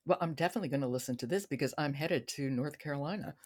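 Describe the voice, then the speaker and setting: A woman's voice: deep voice, racing, darkish tone. A woman speaks as the host of a book podcast, in a conversational style. She offers her own takes and moves the discussion along.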